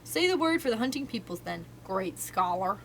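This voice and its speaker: A woman's voice: medium pitch, sing-song, moderate pace, slight background noise, rough recording. A woman voicing an old male brown bear who teaches the law of the jungle, solemn and lecturing.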